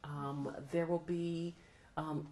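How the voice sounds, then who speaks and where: Middle-aged American woman, low voice, thoughtful interviewee; roomy echo, light hiss. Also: little pitch movement, a little mellow. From United States. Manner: thoughtful, measured, laughs often. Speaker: law professor, interviewee. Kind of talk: reflective interview answers. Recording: roomy echo, faint noise.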